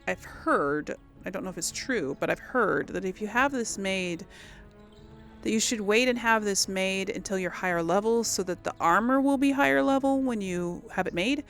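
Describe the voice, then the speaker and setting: A woman's voice: medium pitch, normal speed, a bit bright, soft background music. A woman giving casual video game commentary as a host, chatty and hesitant as she thinks aloud.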